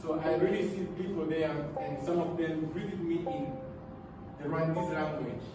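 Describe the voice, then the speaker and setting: A man from Congo, whose first languages are Swahili and French, tells his life story in English, earnest and halting, often repeating words. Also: fairly high pitch, steady tone. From Democratic Republic of the Congo. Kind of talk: personal storytelling talk with slides. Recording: echoey room, mic far off, loud music behind.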